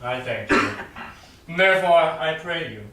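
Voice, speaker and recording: A man's voice: fairly high pitch, animated, slow, sharp tone, heavily acted. A man playing a bold, resolute princely suitor in a staged Shakespeare reading. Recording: distant, very echoey room.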